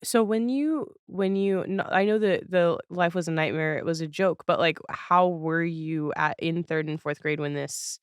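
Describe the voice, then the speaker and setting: A woman's voice: lowish voice, moderate pace, hardly any pauses, smooth, no echo, studio quality. A woman hosting a podcast interview in casual chat, playful and informal as she questions and reacts.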